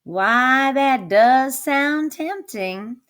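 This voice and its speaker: A woman voicing a hungry, sly male wolf from a storybook, polite and confiding in a smooth-talking manner. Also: sits high, lively intonation, extremely slow, fairly bright tone, pitched above the performer's own voice, exaggerated voice. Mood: tempted, polite. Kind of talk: storybook character voice.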